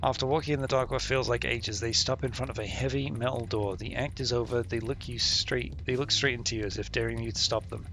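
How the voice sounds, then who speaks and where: Gravelly, bright-voiced man streaming a game. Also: mid-range voice, steady tone, fairly quick, hardly any pauses. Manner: muttering, thinking aloud, sweary. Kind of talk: casual livestream commentary, reading game text aloud. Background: game music.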